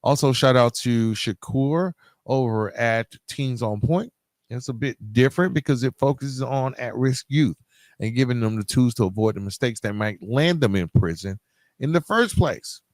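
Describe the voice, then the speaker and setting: A man's voice: mid-range voice, moderate pace, no echo. A man hosting a podcast solo, speaking in an earnest, emphatic motivational style straight to his listeners, repeating key phrases. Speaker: podcast host.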